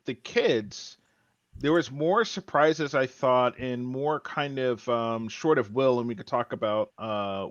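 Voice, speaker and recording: A man hosting a casual TV discussion podcast, speaking haltingly and repeating words as he thinks. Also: mid-range voice, a bit bright, no echo, dull audio. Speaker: podcast host.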